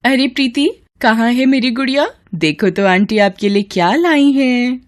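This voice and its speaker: A woman's voice: on the high side, normal speed, voice pushed up, close to an everyday voice. A woman voicing a kindly, generous female senior officer in a cartoon story, speaking in an affectionate, assured, welcoming manner. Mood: doting. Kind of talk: Hindi cartoon story dialogue.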